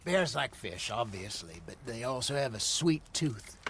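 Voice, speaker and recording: An actor playing a seasoned, easygoing American frontier hunter and outlaw with folksy talk in a video game cutscene. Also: male voice, mid-range voice, very animated, a little slow, a bit bright, a little hiss or hum. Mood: calm, instructive.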